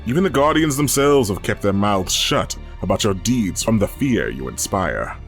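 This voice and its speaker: Solemn hologram elder for an audio story, done by a man: low voice, measured gravity, soft music beneath. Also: sing-song. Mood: grave respect. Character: shadowy male hologram, solemn old friend of a warrior. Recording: faint music behind the voice.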